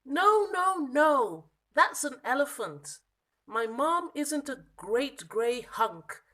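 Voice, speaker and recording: A woman voicing a small, lost young monkey from a children's storybook, plaintive and exasperated, with emphatic, drawn-out protests. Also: high voice, sing-song, pushed and bright, heavily acted. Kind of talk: children's storybook character reading.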